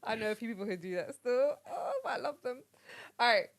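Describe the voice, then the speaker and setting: A young woman runs a relaxed podcast conversation. She reads items off a list, chats, and laughs easily with her guests. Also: fairly high pitch, a little fast, slight background noise. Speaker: podcast host. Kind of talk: casual chat.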